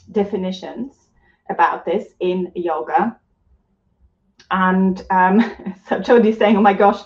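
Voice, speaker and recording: A woman's voice: mid-range voice, roomy sound, dull audio. Chatty woman from Germany teaching live. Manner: chatty, reads out viewer comments. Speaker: yoga teacher hosting a live stream. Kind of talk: casual live-stream teaching.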